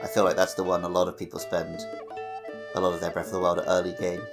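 A man's voice: deep voice, completely flat delivery, fast talker. A man co-hosting a casual podcast conversation about a video game. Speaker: podcast co-host. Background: background music.

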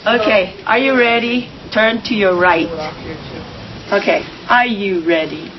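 Brisk woman coaching a dance class. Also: medium pitch, a crowd in the background. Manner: directive, encouraging, brisk. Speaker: hula teacher. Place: outdoors on a lawn. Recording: muffled, distant, music behind.

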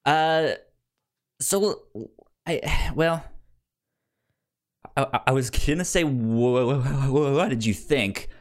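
A man co-hosting a podcast in casual chat, halting and often stammering over repeated words. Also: mid-range voice. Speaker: podcast co-host.

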